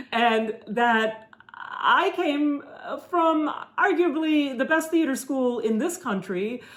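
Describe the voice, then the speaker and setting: A middle-aged woman whose first language is German, telling stories in a relaxed chat with many fillers and restarts. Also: a little high. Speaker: podcast co-host. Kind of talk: casual conversational storytelling.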